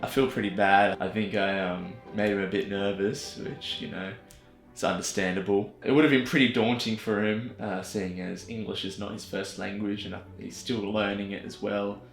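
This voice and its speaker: A young Australian man talks casually and self-deprecatingly, often laughing. His voice is low and gravelly. It sounds roomy, with light music underneath. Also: fairly even intonation, normal speed. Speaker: filmmaker. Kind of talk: casual vlog narration. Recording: echoey room with music under it.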